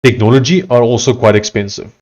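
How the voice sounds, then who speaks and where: A man's voice: mid-range voice, fairly even intonation, a little mellow, muffled recording. A man from South Africa with an Afrikaans accent, chatting casually on a livestream and reading messages and text aloud. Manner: conversational, reads text aloud haltingly. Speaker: livestreaming coder.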